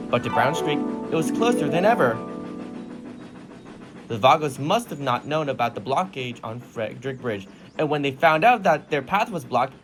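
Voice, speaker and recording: A man narrating a scripted children's story in storybook style. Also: fairly high pitch. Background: music.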